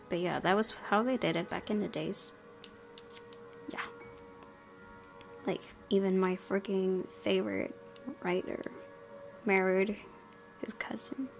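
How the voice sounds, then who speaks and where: A woman's voice: medium pitch, vocal fry. A woman hosting a gaming video, reading a visual novel aloud and mixing in chatty, giggly asides. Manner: giggly, chatty asides between narrated passages. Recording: loud music behind the voice. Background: loud game music.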